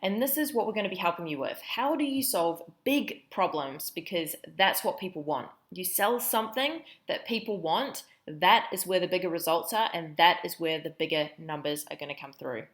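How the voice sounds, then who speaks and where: A woman's voice: fairly low pitch, animated, normal speed, few pauses, a bit bright. A woman in her thirties with a New Zealand accent, giving an upbeat business coaching webinar, full of fillers. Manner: upbeat, enthusiastic, many fillers. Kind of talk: webinar presentation.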